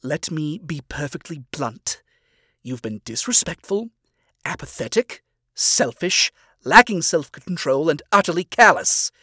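A man's voice: on the high side, expressive, unhurried, pushed and bright, husky, dry recording, clean studio sound, voice pushed up, heavily acted. A man voicing a stern demon owl father who accuses and scolds his son, his lines rising into anger. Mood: cold blunt. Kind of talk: audio fan story reading.

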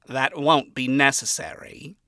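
A reader voicing a smug, courtly male radio demon who speaks in formal, gentlemanly phrasing. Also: medium pitch, steady tone, measured pace, no echo, studio quality. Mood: breezy.

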